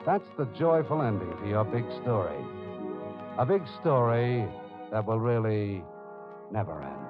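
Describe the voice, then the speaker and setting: A low-voiced man narrates in solemn old-time radio style. He is earnest and measured, with music clearly behind him on a dull vintage recording. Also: animated. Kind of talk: 1940s-50s dramatic radio narration.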